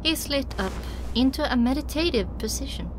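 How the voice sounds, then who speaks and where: A woman's voice: high-pitched, lively intonation, normal speed. A woman narrating a scripted first-person story as an audiobook reader. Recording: clearly noisy background.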